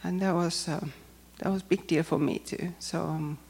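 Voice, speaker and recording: A woman from Finland with a Finnish accent, speaking English as a second language and giving a personal testimony in a careful, hesitant way with frequent pauses. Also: on the low side, steady tone, creaky, darkish tone, slightly rough. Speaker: church member giving testimony.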